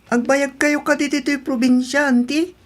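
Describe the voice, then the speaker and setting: A woman's voice: high-pitched, fairly even intonation, normal speed, natural voice. A woman playing a polite, hopeful teenage son from a province family, respectful and agreeable in an Ilocano radio drama.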